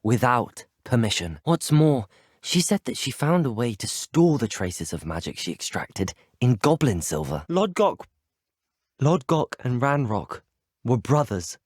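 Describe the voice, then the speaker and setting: Casting: earnest young student wizard for a fantasy game. Husky, animated intonation, formal and well-articulated. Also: male voice, medium pitch, a little slow, no room sound, studio quality. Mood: earnest, grave. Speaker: video game player character voice actor. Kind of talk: scripted video game dialogue.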